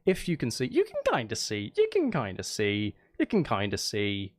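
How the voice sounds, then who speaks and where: A man's voice: lowish voice, wildly swooping pitch, normal speed. A man chatting casually to viewers on a livestream, rambling playfully, cutting himself off and repeating phrases. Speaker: live streamer, music and game maker. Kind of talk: casual livestream chat with viewers while working.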